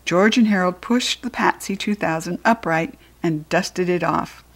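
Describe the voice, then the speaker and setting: An American woman reading a children's story aloud in an expressive, playful and informal way, as a narrator. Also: lowish voice, unhurried.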